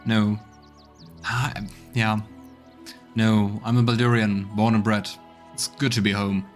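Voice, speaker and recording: A man's voice: fairly low pitch, level tone, unhurried. Man musing over a game, faint music. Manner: musing, thinking aloud. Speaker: let's play host. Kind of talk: casual game commentary.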